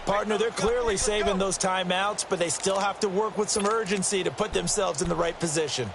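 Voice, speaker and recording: Loud background noise surrounds an opinionated color analyst in a football video game. His voice is a little higher than average. He breaks down the action with firm, emphatic explanations. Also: steady tone, a little fast, creaky. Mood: analytical. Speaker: color commentator. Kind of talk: sports commentary. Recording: loud stadium noise over voice.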